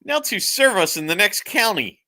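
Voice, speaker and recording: A performer playing a cocky cartoon ghost leader, brash and bossy. The delivery is animated, with a bright, sharp voice. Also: mid-range voice, moderate pace.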